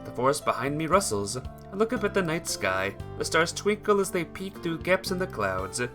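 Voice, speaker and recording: A man's voice: a little high, big pitch swings, normal speed, music in the background. A man streaming a visual novel, switching between casual chat with viewers and reading the story aloud. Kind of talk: casual livestream chat mixed with expressive read-aloud narration.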